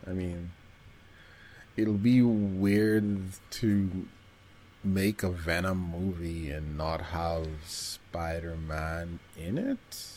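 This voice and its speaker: Man, fairly deep, mellow tone, podcast co-host, informal pop culture chat. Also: very slow, a little hiss or hum.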